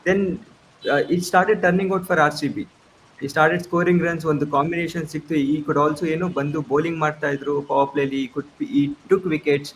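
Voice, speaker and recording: A man's voice: fairly high pitch, steady tone, racing, a little hiss or hum, rough recording. A man with a Kannada Indian accent hosting a casual cricket discussion, switching between Kannada and English. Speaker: panel host of a cricket show.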